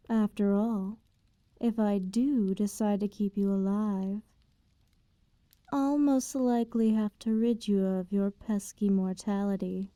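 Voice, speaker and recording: A woman performs a highborn female vampire in an intimate ASMR roleplay. Her voice is fairly high yet very dark and soft, moving at a languid, slow pace. She sounds condescendingly tender and possessive, with teasing menace under the hush. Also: no echo. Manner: slow, hushed, languid, veiled threats delivered sweetly.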